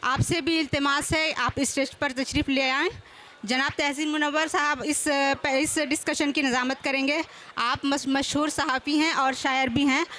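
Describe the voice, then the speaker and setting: Female event announcer, notably high voice, formal and courteous scripted delivery, noticeable background noise. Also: steady tone, moderate pace, bright, forceful tone. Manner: formal, courteous, occasional stumbles while reading. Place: public seminar with a stage.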